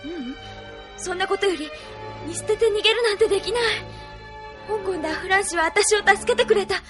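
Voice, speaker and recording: Headstrong young resistance heroine, very high, earnest, insistent, anime audio drama, music behind. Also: female voice, fast talker. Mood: determined.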